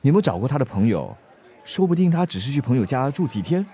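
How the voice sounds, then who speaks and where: Male bystander: slightly husky, lively intonation, practical and helpful, radio drama. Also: mid-range voice, fast. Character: helpful, level-headed male bystander offering leads. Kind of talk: Chinese-language radio drama acting.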